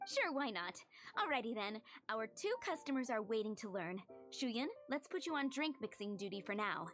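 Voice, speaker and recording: A woman voicing a brisk, bossy young female character in a video game. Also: a little high, big pitch swings, fairly bright tone, faint music behind.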